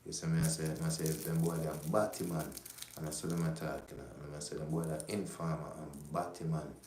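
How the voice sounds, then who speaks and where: Very deep, flat-voiced Jamaican-born man ranting. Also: fairly quick, roomy sound, a little hiss or hum. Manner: rambling, profane, streetwise, repeats "you know what I'm saying". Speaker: livestream host. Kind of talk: casual livestream monologue.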